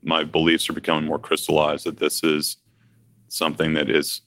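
A man who works as a financial advisor, talking through market news in a deliberate way that thinks aloud. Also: extremely low pitch, monotone, a bit bright, no room sound, clean studio sound. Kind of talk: conversational finance discussion.

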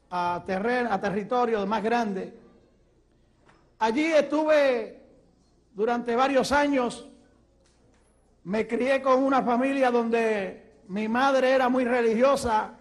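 A man with a Puerto Rican accent, an evangelist preaching and telling his testimony with fervor that rises to shouts. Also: high-pitched. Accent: Puerto Rican Spanish. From Puerto Rico. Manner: fervent, rising to shouts.

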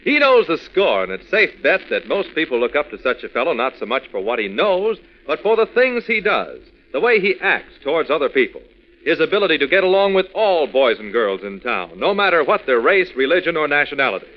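Casting: male old-time radio pitchman for kids, bright, highish, chummy and instructive. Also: big pitch swings, a little fast, poor audio. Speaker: radio announcer. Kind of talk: 1940s radio scripted address to children.

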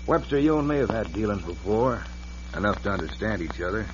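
A ruthless, scheming frontier land agent played by a man, American, with a lowish voice and a folksy conspiratorial drawl. It comes from a dull, clearly noisy vintage western radio show. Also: animated, brisk, natural voice. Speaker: radio actor. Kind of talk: old-time western radio drama acting. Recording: band-limited, noisy old radio recording.

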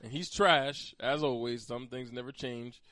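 A man's voice: mid-range voice, a little slow, sharp tone, no room sound. An American man hosting a podcast, chatting casually and conversationally with a teasing streak toward his co-host. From Pennsylvania, United States.

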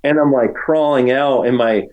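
A man's voice: mid-range voice, normal speed, muffled recording. A man in his forties, a podcast guest telling race stories in a rambling, self-mocking way full of fillers. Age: 40s. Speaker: guest runner. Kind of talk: casual chat, telling stories.

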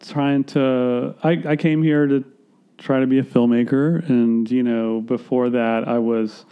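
A man from Florida, a filmmaker guest, talking in a rambling, halting, self-deprecating way in casual conversation. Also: medium pitch, steady tone, clean tone, dull audio.

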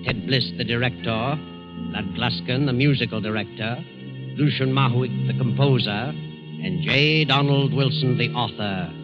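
An American man narrating 1940s radio suspense in a measured, dramatic, ominous manner. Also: medium pitch. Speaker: narrator and announcer. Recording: dull old radio recording. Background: music.

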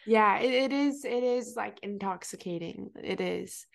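Young female podcast host, casual and chatty, creaky low-end fry, mid-range pitch. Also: a little slow. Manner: chatty, many fillers and restarts, laughs often. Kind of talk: casual interview chat.

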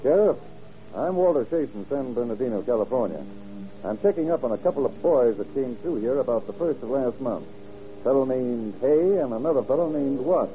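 A man's voice: medium pitch, expressive, fairly quick, soft background music, close to an everyday voice. Actor as businesslike lawman, muffled old radio. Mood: probing, businesslike. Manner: terse, probing, matter-of-fact. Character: businesslike sheriff investigator methodically questioning witnesses. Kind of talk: old radio drama acting. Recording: dull vintage radio drama recording.

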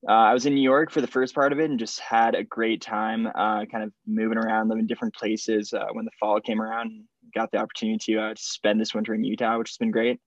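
A man's voice: lowish voice, quick pace, hardly any pauses, no room sound, muffled recording. A young man from Switzerland whose first language is French, talking casually and rambling as a podcast guest, with lots of fillers. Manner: relaxed, rambling with many fillers. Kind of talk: casual conversation.

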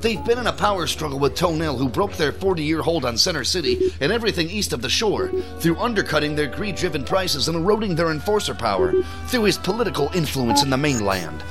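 Officious, lively-pitched male agent. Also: music in the background. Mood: authoritative. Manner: formal, measured, pompous. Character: officious male federal agent giving a briefing, easily irritated. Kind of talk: audio drama acting, formal briefing.

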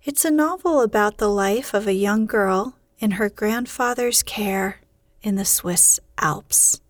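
A woman hosting and narrating a bedtime podcast in a soothing, unhurried, hushed way. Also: mid-range voice, dry recording, studio quality. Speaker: podcast host and bedtime story reader. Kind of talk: slow sleep-podcast narration.

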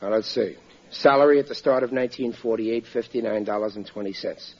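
An actor playing a hapless, stubbornly optimistic working-class husband in a 1940s radio sitcom. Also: male voice, on the low side, a little fast, lightly acted. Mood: muttering, glum. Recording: dull vintage 1940s radio recording.

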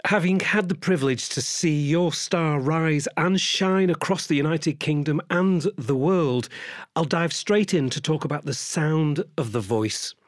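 A man's voice: on the high side, measured pace, dry recording, studio quality. A man hosting an interview, introducing his guest and topics in a courteous, formal manner. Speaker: host.

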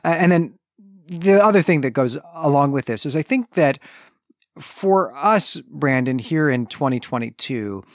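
A man's voice: fairly high pitch, lively intonation, measured pace, no room sound. Man recapping fiction, close dry sound. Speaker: podcast host. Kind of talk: conversational literary recap and discussion.

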